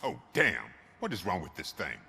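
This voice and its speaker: A man's voice: low voice, wildly swooping pitch, normal speed, creaky, bright, forceful tone, slight background noise, exaggerated voice. A man playing a friendly, chatty garage owner in a video game, talking in an obliging, folksy way. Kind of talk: video game cutscene acting.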